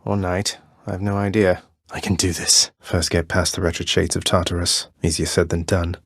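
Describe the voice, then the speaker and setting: A rebellious young prince of the underworld for a video game. His voice is low and very close and dry in sound, with clipped, wry lines full of understated swagger. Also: little pitch movement, normal speed, a bit bright, dry recording. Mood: wry, determined.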